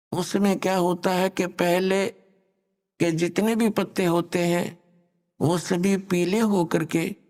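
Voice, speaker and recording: Male preacher, high gravelly voice, reciting couplets slowly, long hall reverb. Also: darkish tone. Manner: slow, drawn-out recitation. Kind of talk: devotional discourse with recited couplets.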